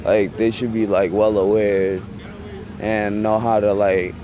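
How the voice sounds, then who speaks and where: Slow-talking man full of fillers. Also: on the low side, level tone, background noise. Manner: rambling, says "like" constantly. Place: outdoors in a city.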